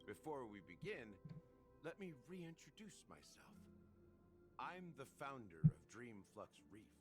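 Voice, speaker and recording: An actor playing an enigmatic older game character, a sly rebel who talks in a measured, theatrical, wry way. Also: male voice, medium pitch, big pitch swings. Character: enigmatic older man, sly rebel revealing his secrets. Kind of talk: video game story dialogue. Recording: music behind the voice.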